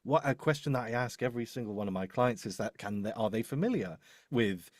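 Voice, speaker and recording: A man's voice: medium pitch, lively intonation, brisk, no room sound, studio quality. A man giving casual professional advice as a demo producer in a live discussion, often correcting himself and repeating phrases. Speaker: voice-over demo producer and host.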